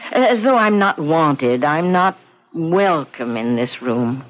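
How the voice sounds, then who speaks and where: An actress playing a kindly, uneasy older housewife in a radio drama, speaking gently and hesitantly, often trailing off. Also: female voice, low voice, animated, slow pace. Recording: dull, noisy old radio recording.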